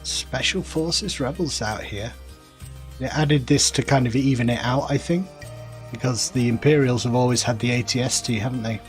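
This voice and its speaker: A man with a mild Northern English accent, chatting in a relaxed, musing way while playing a game on a live stream and answering chat. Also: mid-range voice, normal speed, faint music behind. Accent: mild Northern English, softened by years in the south. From northern England. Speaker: gaming streamer.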